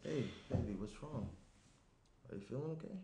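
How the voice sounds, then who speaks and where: A man's voice: medium pitch, normal speed, natural voice. A young man acting an attentive, slightly anxious boyfriend in a conversational, hesitant script reading. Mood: concerned. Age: young adult. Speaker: actor in a screenplay table read. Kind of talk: naturalistic dramatic script reading. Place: small indoor room around a table. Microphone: shared tabletop microphone. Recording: roomy echo, noticeable room noise.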